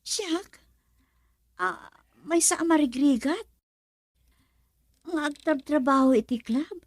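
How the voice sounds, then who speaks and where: An actress playing a young woman who works at a club, asking her father lively questions. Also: high-pitched, takes it slowly, no echo. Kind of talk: radio drama acting.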